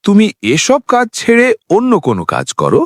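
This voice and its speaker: A man's voice: high-pitched, wildly swooping pitch, a little fast, no room sound, studio quality. A man voicing a kindly, wise holy man in a cartoon story, speaking in an instructive, fatherly way. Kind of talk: dubbed cartoon morality tale.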